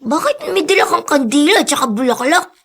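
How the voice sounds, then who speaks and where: A woman's voice: high-pitched, lively intonation, a little fast, fairly bright tone. A woman with a Filipino accent playing a sweet, timid young street boy with a secret, in a radio drama. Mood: curious, earnest.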